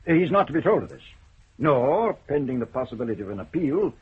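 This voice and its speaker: A husky, dry old judge. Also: male voice, medium pitch, big pitch swings. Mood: measured. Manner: measured, wry, deliberate. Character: stern elderly male Scottish judge, dry and ironic. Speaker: senior judge. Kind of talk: 1970s BBC radio drama acting. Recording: muffled old radio broadcast.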